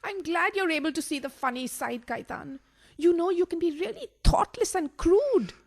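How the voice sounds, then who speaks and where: Woman playing a very high-voiced melodramatic mistress. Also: lively intonation, moderate pace. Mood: resigned, reproachful. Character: dramatic, anxious mistress with a coolly practical streak. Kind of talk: farcical radio play acting.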